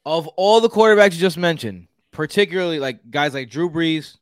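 Chatty man with a slightly high voice. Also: expressive, moderate pace, clear, resonant tone, no room sound. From Houston, Texas. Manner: chatty, rambling, repeats words while thinking. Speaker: podcast co-host. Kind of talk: casual sports podcast chat.

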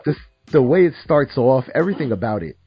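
A man's voice: mid-range voice, expressive, fairly quick, slightly rough, no room sound, lo-fi sound. A man hosting a casual podcast chat about rap songs, laid-back and swearing now and then. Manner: laid-back, swears, says "my bad" and "word up" a lot. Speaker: podcast host.

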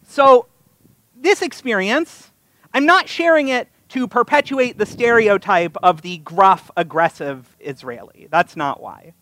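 A North American man with a high voice delivers a sermon as a personal story. His pitch swings widely, with a wry, self-deprecating touch. The sound is dry and close. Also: animated, unhurried, a bit bright, dry recording, low-quality recording. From North America (US and Canada). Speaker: rabbi giving a sermon. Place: live synagogue service.

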